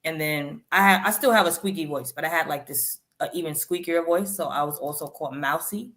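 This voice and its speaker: Woman in her 30s, low voice, chatty and animated, rapper on an interview. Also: fairly quick. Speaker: rapper guest. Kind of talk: interview storytelling.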